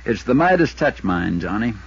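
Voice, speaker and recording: A folksy small-town Western insurance agent, spinning his account at length with plenty of detours. His voice is a little husky and sits at a middling pitch. It comes through a dull, muffled 1950s radio recording. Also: very animated. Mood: chatty. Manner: folksy, drawn-out storytelling. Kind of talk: 1950s radio drama acting.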